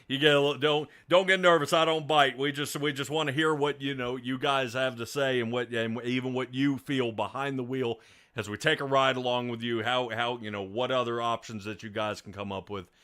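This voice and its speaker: A man's voice: mid-range voice, fairly even intonation, a little fast, a bit bright. A man hosting a sim racing broadcast, interviewing a driver and rambling upbeat commentary with many restarts. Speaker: race broadcast host.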